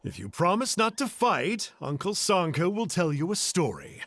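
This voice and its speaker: Game role: hammy, self-pitying storyteller uncle, high and very lively. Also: male voice, moderate pace, dry recording, exaggerated voice. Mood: theatrical. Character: boastful, theatrical adult male storyteller uncle. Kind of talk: video game voice acting.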